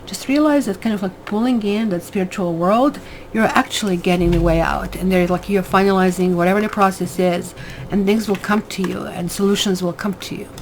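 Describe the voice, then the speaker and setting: A woman's voice: medium pitch, fairly even intonation, brisk, rough recording. Woman, croatian accent, tarot reader, rambling casual talk, faint background hum. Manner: rambling, many fillers and tag questions. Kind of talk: casual tarot reading to camera.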